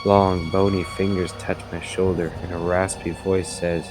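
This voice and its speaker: A man's voice: deep voice, little pitch movement, measured pace, music in the background. A man acting as the haunted narrator of a gothic audio drama, telling it in the first person, grave and suspenseful. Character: haunted, desperate male narrator of a gothic tale. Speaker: narrator and protagonist.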